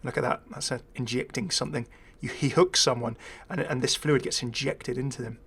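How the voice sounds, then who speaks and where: A chatty male gaming host with a medium-pitched voice talks casually off the cuff. He thinks aloud, hesitating as he goes, and slips in dry, wry asides. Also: fairly even intonation, fairly quick, a bit bright, slightly rough. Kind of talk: casual unscripted video game commentary.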